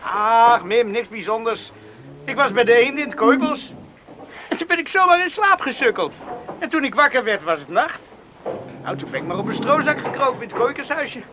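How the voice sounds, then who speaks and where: An actor playing an easygoing, evasive young farm son, eager to placate, in a Dutch radio play. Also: male voice, extremely high pitch, lively intonation, moderate pace. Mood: placating. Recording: noisy old radio play recording.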